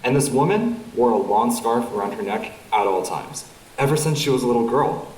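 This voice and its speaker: A man's voice: mid-range voice, animated, normal speed, darkish tone, slight background noise, natural voice. A young man acting as a defensive guy casually telling a story to friends in an audio drama. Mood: casual storytelling. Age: young adult. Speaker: student audio drama actor. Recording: slightly distant from the mic.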